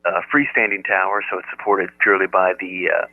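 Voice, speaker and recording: An American man chatting casually as an amateur radio operator, with a halting delivery. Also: sits low, level tone, noisy background. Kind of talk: casual ham radio chat. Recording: narrow-band, telephone-like radio audio.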